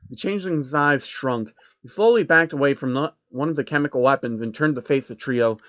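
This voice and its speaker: A man's voice: medium pitch, fairly quick, a bit bright, dry recording, muffled recording. A man reading out a comic story as scripted narration.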